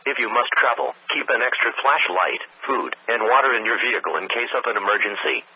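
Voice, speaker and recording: A synthetic text-to-speech man reads a scripted weather warning bulletin over the radio in measured, impersonal, segmented phrases. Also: medium pitch, breathy edge. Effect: weather radio broadcast. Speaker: automated weather radio announcer. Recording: tinny narrowband weather radio broadcast.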